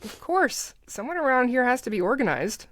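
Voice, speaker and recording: An exasperated teenage girl camp counselor, played by a woman, with a highish, very animated voice. She is sarcastic and organized, landing dry lines crisply in a comedy sketch. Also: normal speed, no room sound. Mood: dry, decisive. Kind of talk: comedic audio sketch acting.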